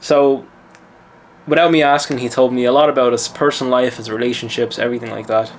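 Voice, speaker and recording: A man from Lithuania who speaks with an Irish accent, his voice sitting at an ordinary middle pitch. He tells a story casually and then shifts into confident, lecturing advice as a mindset coach. A faint ambient hum sits under his voice. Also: little pitch movement, a little fast. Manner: chatty, self-assured, lecturing.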